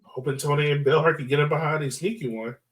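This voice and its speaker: A man's voice: fairly high pitch, steady tone, fast talker, far from the mic. A man hosting a sports podcast, talking through analysis in an informal, slangy way. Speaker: podcast host. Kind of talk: casual sports analysis chat.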